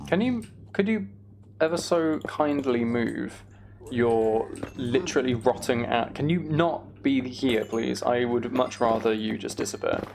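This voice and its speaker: A man's voice: medium pitch, measured pace, vocal fry, noisy background. A man giving casual, rambling gaming commentary to a live chat, with playfully exasperated banter. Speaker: game streamer hosting a live chat stream.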